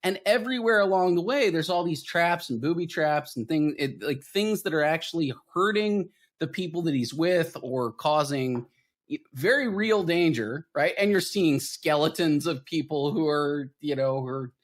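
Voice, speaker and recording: A man hosting a casual film discussion podcast, explaining his points in an animated, emphatic way. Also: a little high, moderate pace. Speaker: podcast host.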